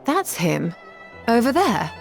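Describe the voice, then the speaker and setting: A woman's voice: a little high, sing-song, slow, creaky, faint music behind, exaggerated voice. An actress playing an articulate, formal scholarly adventurer woman in a fantasy game. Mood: alert, puzzled. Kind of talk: fantasy game cutscene acting.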